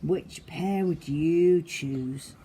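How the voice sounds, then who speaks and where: A woman's voice: low voice, very slow, mellow, a little hiss or hum. A woman reading a children's story aloud, addressing young listeners simply and directly like a primary teacher.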